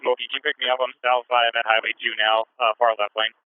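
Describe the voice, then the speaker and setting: A man's voice: mid-range voice, level tone, fast talker, clear, resonant tone, no room sound, narrow, tinny audio. A man, a state trooper in a patrol car, giving short call-outs over a police radio heard on a scanner.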